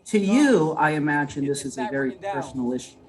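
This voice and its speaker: A man's voice: fairly high pitch, expressive, a little fast, low-quality recording. A man working as a news reporter, reading a short intro and then asking tentative questions with fillers.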